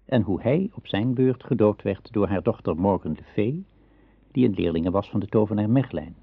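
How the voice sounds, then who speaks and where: A man's voice: lowish voice, lively intonation, normal speed, gravelly, breathy edge, dry recording. A man narrating a radio drama as a scripted storyteller. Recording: dry, tinny old radio recording.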